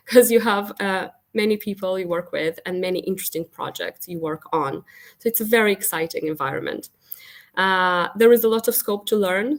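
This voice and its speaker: Hoarse woman w a cold, consultant pitching apprenticeships on a video call, earnest and encouraging, kinda halting. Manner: earnest, encouraging, hesitant with pauses. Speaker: consultant and career counselor. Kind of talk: virtual open evening presentation.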